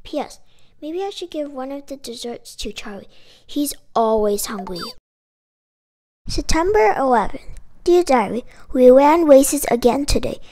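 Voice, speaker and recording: A woman reading a children's picture book aloud in an expressive, emphatic storytelling manner. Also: sits high, unhurried. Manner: expressive, emphatic delivery with dramatic exclamations. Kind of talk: animated children's read-aloud.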